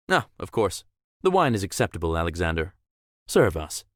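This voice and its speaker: Suave billionaire, very lively low voice. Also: male voice, vocal fry, dry recording, studio quality, heavily acted. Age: young adult. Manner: formal, polished, theatrical phrasing. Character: suave, wealthy, self-assured 29-year-old billionaire man. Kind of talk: visual novel character acting.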